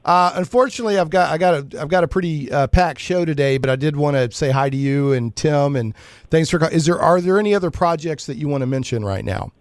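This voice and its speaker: A man hosting a live radio show, chatting informally and haltingly, often repeating words as he goes. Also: a little high, dry recording. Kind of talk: casual live radio chat.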